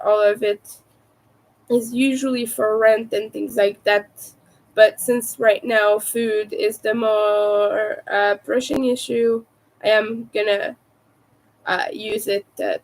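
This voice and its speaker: A woman with a raspy, soft-spoken voice, sick and low on energy, chatting casually and hesitantly on a livestream. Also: low-quality recording. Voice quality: raspy from vocal cord damage. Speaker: livestream host, crafter.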